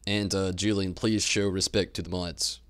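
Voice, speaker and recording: A man's voice: sits low, flat delivery, moderate pace, clean tone. A man livestreaming, chatting informally with his audience in a casual, conversational way. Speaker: live streamer. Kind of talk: casual stream chat and commentary.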